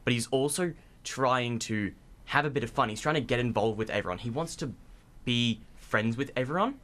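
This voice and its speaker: A man's voice: medium pitch, normal speed, a bit bright. A young man, a drama student explaining casually in an interview. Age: young adult.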